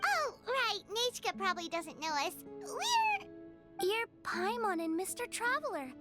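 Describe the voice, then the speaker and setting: Chirpy little girl game character: very high, animated. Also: measured pace, exaggerated voice. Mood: eager, sweet. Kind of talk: English video game voice acting. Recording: loud game music behind voice.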